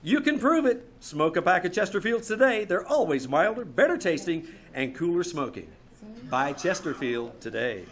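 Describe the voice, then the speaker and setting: A man's voice: high voice, big pitch swings, normal speed. A man announcing a scripted old-time radio show in a theatrical, showman-like manner. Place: small theater stage, live performance.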